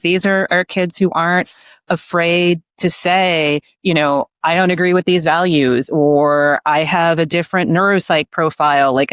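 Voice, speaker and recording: A woman's voice: on the low side, no room sound, studio quality. A middle-aged woman giving candid, conversational commentary as an interview guest, talkative and full of asides. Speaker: staffing industry researcher, podcast guest.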